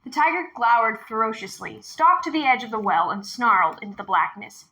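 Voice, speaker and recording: A woman narrating a children's novel aloud in an expressive storytelling style. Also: fairly high pitch, brisk, low-quality recording.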